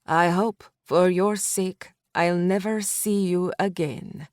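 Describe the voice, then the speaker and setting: A woman reading an audiobook, voicing a cold, threatening male gangster who speaks low and taunting. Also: fairly even intonation, slow, a little mellow, dry recording, studio quality. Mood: mocking.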